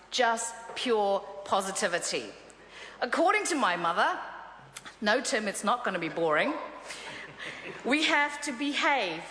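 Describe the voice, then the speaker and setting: Bright-voiced Korean-born woman in a chamber. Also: mid-range voice. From South Korea. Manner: upbeat, frequent uh fillers. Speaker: member of parliament. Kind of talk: parliamentary speech. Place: large parliamentary chamber. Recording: echoey chamber with long reverb.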